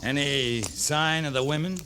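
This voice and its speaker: An actor playing a firm, terse American cavalry captain in a 1950s Western radio drama. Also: male voice, on the high side, measured pace, close to an everyday voice. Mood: businesslike. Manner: authoritative, terse. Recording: noisy 1950s radio recording.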